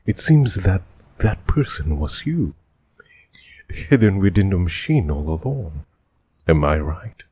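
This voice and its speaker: A man voicing a grave, grieving dragon sovereign and chief judge in a game dub, with formal, measured questioning and a husky, breathy voice. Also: deep voice, big pitch swings, darkish tone, no room sound, full character voice. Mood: grave, probing. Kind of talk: video game dub voice impression.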